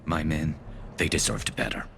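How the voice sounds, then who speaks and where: A man playing a battle-hardened, dry-witted alien soldier in a video game, with a gravelly, metallic voice and measured delivery. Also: a bass voice, completely flat delivery, a bit bright, a little hiss or hum. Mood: bitter, pained. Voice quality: gravelly, resonant metallic rasp.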